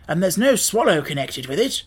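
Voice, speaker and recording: A woman's voice: on the low side, big pitch swings, brisk, slightly rough, voice pushed up. A woman voicing a blunt, practical straw scarecrow man from a storybook, talking in a wordy, wry, emphatic way. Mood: matter-of-fact.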